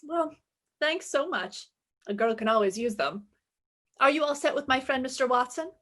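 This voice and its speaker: Woman playing a sassy, higher-voiced lady detective. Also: lively intonation, moderate pace, a bit bright. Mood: polite, breezy. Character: breezy, wry female private detective. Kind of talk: 1950s-style radio play acting and narration.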